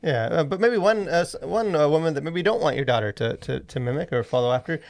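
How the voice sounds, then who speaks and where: Casual male podcast host with some creak. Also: on the high side. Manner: halting, rambling, filler-heavy delivery.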